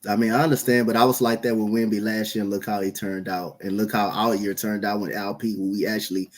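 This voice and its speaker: A man's voice: lowish voice, level tone, fast talker. A man hosting a casual basketball podcast, laid-back and rambling through his opinions. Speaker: podcast host. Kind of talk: casual sports podcast chat.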